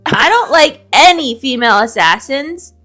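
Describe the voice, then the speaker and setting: A woman's voice: high-pitched, animated, moderate pace, bright, forceful tone, faint music behind. A woman chatting casually and animatedly with a friend as a co-host, giving opinions in a playfully indignant, slangy way. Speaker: co-host of a bookish video show.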